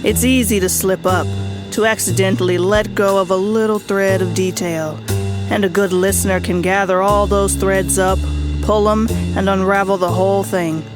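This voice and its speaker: A woman's voice: medium pitch, steady tone, moderate pace, music in the background, close to an everyday voice. A woman playing a rakish, cool and sardonic hardboiled private detective in a noir radio drama. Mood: reflective.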